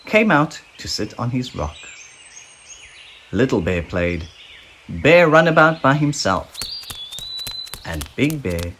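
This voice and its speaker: A children's storybook narrator reads with a highly animated melody at an unhurried pace. The delivery is deliberate and expressive, with faint noise behind the voice. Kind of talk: expressive scripted read-aloud for young children. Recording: faint background noise with sound effects.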